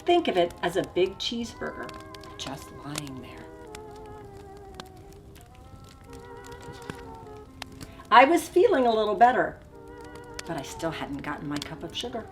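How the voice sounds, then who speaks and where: A woman's voice: fairly low pitch, sing-song, normal speed, music in the background. A woman reading a storybook as a wily male wolf who chattily and theatrically defends himself. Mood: animated, matter-of-fact. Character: wily, self-justifying male wolf telling his side. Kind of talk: storybook read-aloud in first person.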